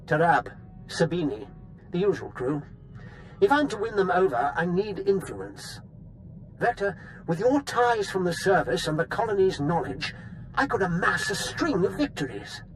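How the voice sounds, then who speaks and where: An actor playing an ambitious, scheming political operator in a video game, formal, deliberate and persuasive in his speech. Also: sits high, big pitch swings, soft background music, low-quality recording. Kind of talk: video game cutscene acting.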